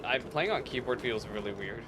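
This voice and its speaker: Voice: mid-range voice, brisk, noisy background. A streamer giving casual, playful, slangy live commentary while playing a game. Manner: playful, slangy, reacting aloud. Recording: faint game music behind.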